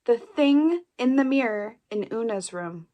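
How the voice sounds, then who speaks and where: A woman's voice: fairly high pitch, animated, a little slow, warm tone. A woman playing a wry adult sister who trades dry jokes in audio play dialogue. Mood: matter-of-fact. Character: wry adult sister joking about family ghosts.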